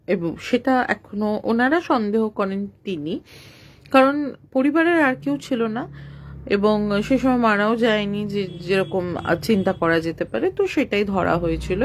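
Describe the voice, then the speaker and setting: A woman narrating a ghost tale in an informal, unscripted way, conversational and mixing in some English words. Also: fairly high pitch. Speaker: horror story narrator.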